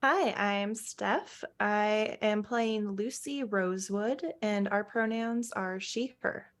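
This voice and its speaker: A woman's voice: mid-range voice, slow. A woman introducing herself as a player in a tabletop game livestream, cheerful. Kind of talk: casual chat.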